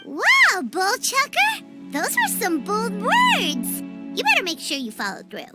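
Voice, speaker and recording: A woman voicing a tiny, plucky, bossy childlike companion creature in a game, squeaky and earnest. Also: huge pitch swings, unhurried, heavily acted. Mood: impressed. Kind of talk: cartoonish game voice acting. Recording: music behind the voice.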